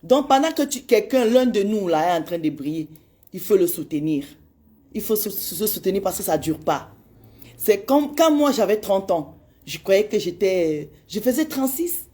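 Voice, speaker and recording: A woman in her forties talking to her followers with a warm, mellow tone. She speaks with heated urgency and pleads with them, hammering the same phrases again and again. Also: mid-range voice, very animated, moderate pace. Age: 40s. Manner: impassioned and pleading, repeats phrases. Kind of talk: direct address to followers on social media.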